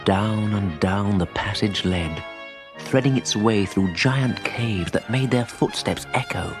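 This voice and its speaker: A man narrating a children's audiobook in an expressive, dramatic storytelling manner. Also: on the low side, takes it slowly, music in the background.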